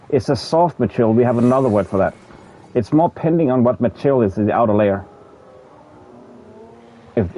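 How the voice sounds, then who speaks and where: Halting Danish-accented man streaming a game. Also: medium pitch, warm tone, low-quality recording. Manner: halting, repeats words while searching for English terms, swears in frustration. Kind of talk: casual livestream chat with viewers.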